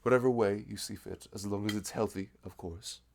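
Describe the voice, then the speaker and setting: A man's voice: steady tone, a little fast, a little mellow. A man playing a sweet, bashful young male florist in a chatty, hesitant ASMR roleplay, with a velvety voice. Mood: earnest, gentle. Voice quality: velvety, low, creaky. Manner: chatty, hesitant, rambling, self-deprecating. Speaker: ASMR voice performer. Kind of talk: ASMR roleplay, improvised casual chat.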